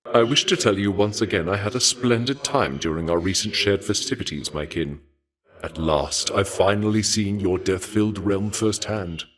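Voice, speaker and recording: A man voicing a courteous, regal god of war in a video game, speaking formally in archaic, measured phrases with a dark fascination for death. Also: fairly low pitch. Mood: curious, courteous. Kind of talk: scripted video game character dialogue.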